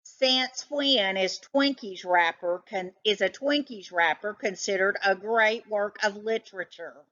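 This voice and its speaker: A woman's voice: medium pitch, a little slow, few pauses, bright, forceful tone, highs cut off. A woman voicing a scornful, know-it-all male cat with a snappish, pedantic manner in a children's storybook. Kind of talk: children's storybook read-aloud.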